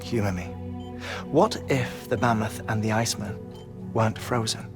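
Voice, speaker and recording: A man playing an erudite scientist in a video game cutscene, explaining theories in a precise, formal, measured way. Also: lowish voice, lightly acted. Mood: intent, theorising. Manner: lecturing, precise, formal diction. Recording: music behind the voice.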